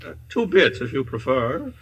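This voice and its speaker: A man's voice: mid-range voice, sing-song, moderate pace, a bit bright, poor audio. A man playing a grandiose but kindly carnival humbug in a 1950s radio drama, pompous and theatrical with flustered stammers. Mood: showy, coy. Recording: vintage radio broadcast.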